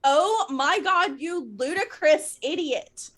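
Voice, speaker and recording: A woman's voice: high-pitched, slow pace, a bit bright. A woman voicing an angry, sarcastic teenage goth girl in an exaggerated, indignant comedic dramatic reading. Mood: furious.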